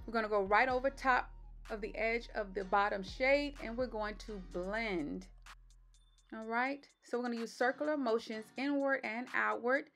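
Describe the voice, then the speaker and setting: A woman with a slightly raspy voice doing a relaxed step-by-step voice-over for her makeup tutorial. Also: fairly high pitch, moderate pace, soft background music. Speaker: beauty vlogger. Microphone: voice-over mic.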